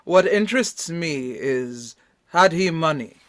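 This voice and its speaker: A man playing a shrewd, courteous gentleman doctor, formal and deliberate, in a radio play reading. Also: a little high, expressive, no room sound. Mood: shrewd, curious.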